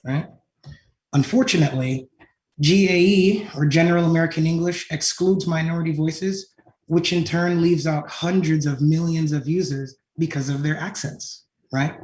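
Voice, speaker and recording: A man's voice: fairly high pitch, darkish tone. A man presenting his company at a design conference, often ending points with a questioning "right?". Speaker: tech company founder presenting. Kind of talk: conference talk. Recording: roomy echo.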